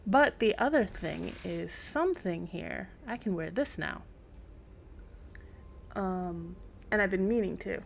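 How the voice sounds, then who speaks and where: Creaky-voiced woman streaming a video game. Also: mid-range voice, lively intonation, slow pace, slight background noise. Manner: rambling, thinking aloud. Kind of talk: casual live-stream gameplay commentary.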